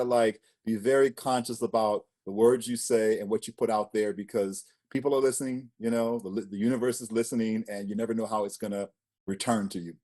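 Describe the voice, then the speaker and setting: A man in his forties, a spoken word poet, talking casually as an interview guest and telling stories with emphatic rises. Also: on the low side. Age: 40s. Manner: expressive storyteller, emphatic rises.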